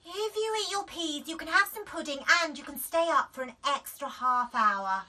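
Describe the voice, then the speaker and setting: A woman's voice: sits high, animated, moderate pace, bright, forceful tone, pitched above the performer's own voice. A woman voicing an exasperated mum in a children's storybook, coaxing and bribing in gushing lists. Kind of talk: children's storybook character reading.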